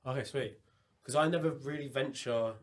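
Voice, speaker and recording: A man from Kent, England, chatting casually as a podcast guest, animated and full of fillers. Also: medium pitch. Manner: animated, quick bursts of excitement, many fillers.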